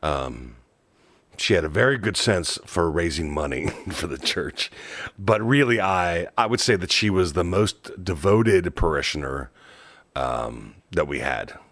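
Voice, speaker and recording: A man's voice: sits low, lively intonation, unhurried, a bit bright, no room sound, heavily acted. A man playing an earnest church leader in a documentary-style interview, hesitantly searching for his words. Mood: earnest, appreciative. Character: earnest male church leader praising a parishioner. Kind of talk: audio drama mock-documentary interview.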